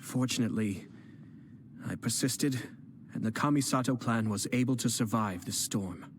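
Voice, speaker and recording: An actor playing a poised young clan head and cunning strategist, speaking in a measured, courteous way in video game dialogue. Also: male voice, medium pitch, slight background noise, close to an everyday voice. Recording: game dialogue with music underneath.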